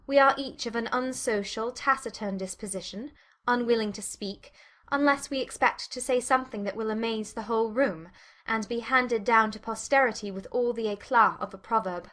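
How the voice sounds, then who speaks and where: A woman playing a witty, spirited young Regency gentlewoman with an arch, teasing manner in a dramatized audiobook. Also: fairly high pitch, moderate pace, close to an everyday voice.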